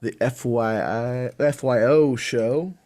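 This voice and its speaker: A man's voice: medium pitch, slow pace, a little mellow, no echo, clean studio sound. A man hosting a casual podcast, swearing freely and laughing between stories. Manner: loose, swears a lot, laughs mid-sentence. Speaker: podcast host. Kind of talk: casual banter.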